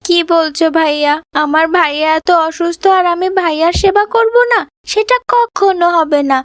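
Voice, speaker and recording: A woman's voice: extremely high pitch, moderate pace, no echo, studio quality. A woman voicing a devoted little sister, a young girl with a tender, pleading manner, in a children's cartoon. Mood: tender, earnest. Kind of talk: children's moral cartoon voice acting.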